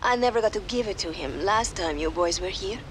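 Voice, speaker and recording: A woman's voice: medium pitch, lively intonation, a little fast, background noise, close to an everyday voice. An actress playing a sly, scheming woman mercenary boss in a video game, speaking in a teasing way.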